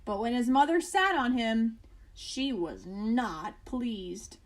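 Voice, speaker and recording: A woman reading a children's picture book aloud as a bedtime story, with expressive emphasis and casual asides. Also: on the high side, takes it slowly.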